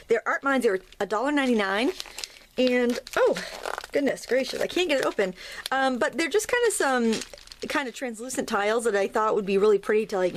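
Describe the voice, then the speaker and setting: A woman in her thirties with a slightly higher voice, a little hoarse, talking in a chatty, rambling way. She walks through her craft purchases from her home studio, full of friendly asides. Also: slight background noise. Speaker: craft video host. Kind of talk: casual haul chat.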